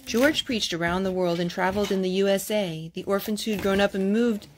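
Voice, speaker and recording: A woman's voice: medium pitch, a little fast. Woman reading to children, noisy home recording. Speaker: host of a children's storytime. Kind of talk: read-aloud storytelling for young children.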